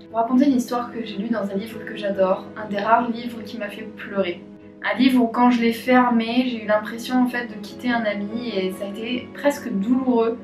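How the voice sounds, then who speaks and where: A woman who works as a clinical psychologist, telling a story gently and thoughtfully with many fillers as she hosts her own video. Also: a little high, roomy sound, recorded from a distance, poor audio. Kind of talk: storytelling to camera.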